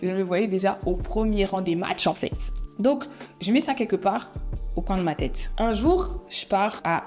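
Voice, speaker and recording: A young woman from Belgium, telling a personal story in a chatty, animated way and slipping in English phrases. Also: mid-range voice, moderate pace, faint music behind. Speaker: vlogger. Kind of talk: casual storytime monologue.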